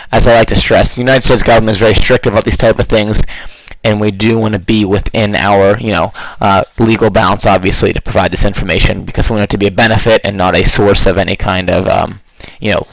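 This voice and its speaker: Guy with a kinda rough throat going over charts, lowish voice, dry sound, lots of ums and you knows. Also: no room sound, low-quality recording. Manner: loose, filler-heavy. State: slightly hoarse. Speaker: forex trading analyst. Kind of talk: casual chart walkthrough.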